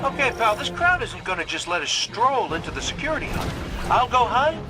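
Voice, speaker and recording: An actor voicing a cocky, wisecracking, excitable gunslinger in a video game, joking and exclaiming showily. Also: male voice, very high voice, a little fast, very noisy.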